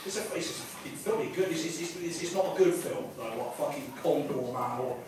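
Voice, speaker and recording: An English man performs rambling observational stand-up in a slightly high voice. He swears freely, stumbles and doubles back on his phrases. The recording is a distant live club capture, very echoey, with clear room noise around him. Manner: sweary, halting, digressive, repeating himself. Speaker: stand-up comedian. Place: small live comedy venue in a pub. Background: audience laughter and room noise.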